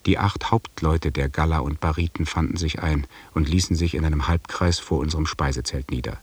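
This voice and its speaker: Expedition chronicler, very low husky voice, sober radio drama narration, set back from the mic. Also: male voice, a little fast, recorded from a distance. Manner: sober, matter-of-fact. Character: sober male expedition member recounting events. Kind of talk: scripted audio drama narration.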